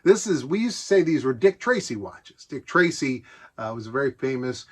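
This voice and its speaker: A man's voice: medium pitch, very animated, moderate pace, slightly rough. A middle-aged man with an American accent giving a casual, nostalgic show-and-tell, rambling along conversationally and with enthusiasm. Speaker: presenter showing a collection of vintage gadgets.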